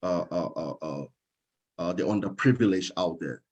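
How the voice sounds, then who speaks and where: Young man, from Cameroon, very low voice, husky, hesitant with fillers, interview guest. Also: normal speed, a little mellow. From Cameroon, Northwest. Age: young adult. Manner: hesitant, many fillers and restarts. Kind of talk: casual interview talk.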